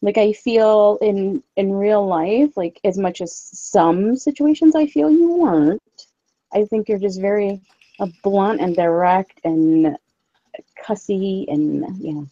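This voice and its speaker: Casting: woman, Upper Midwest accent, soft mellow tone, candid livestream chat, echoey room. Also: medium pitch, slow pace, lo-fi sound. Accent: Upper Midwest American. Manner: candid, sweary. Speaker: co-host of a livestream. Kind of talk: casual chat.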